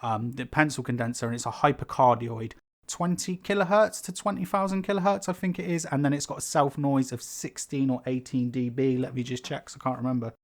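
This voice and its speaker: A man, a YouTube creator, talking casually and rambling with fillers and asides; his voice is sibilant and his throat is rough. He is in a small carpeted home room with foam on the walls, recorded on a condenser mic overhead. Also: mid-range voice, fairly quick. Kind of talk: casual unscripted talk to camera. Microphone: pencil condenser on a stand above the speaker.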